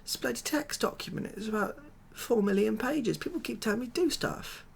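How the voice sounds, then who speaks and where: Creaky-voiced British man, hesitant and deadpan. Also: high-pitched, a little fast, a little hiss or hum. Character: glum, overwhelmed ex-builder made president of the world. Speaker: ASMR roleplay performer. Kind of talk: soft-spoken ASMR comedy roleplay monologue.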